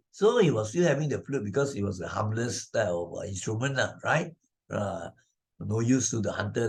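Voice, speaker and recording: A man with a Malaysian accent narrating and explaining a moral story for children as a teacher, in a conversational, repetitive way full of little tag questions. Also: mid-range voice.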